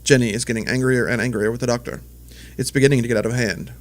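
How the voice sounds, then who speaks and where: A man's voice: mid-range voice, steady tone, quick pace, background noise. A man giving scripted, present-tense narration as the storyteller of an audio drama.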